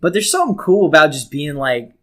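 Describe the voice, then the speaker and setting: A man's voice: a little high, big pitch swings, fairly quick. A man co-hosting a podcast in casual comedic banter, speaking with crude, profane, joking exaggeration. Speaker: podcast co-host.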